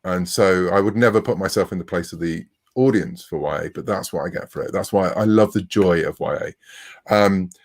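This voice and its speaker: A man's voice: low-pitched, a little fast. A man in his forties speaking conversationally as a writer being interviewed. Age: 40s. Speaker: interviewed writer of comics and novels.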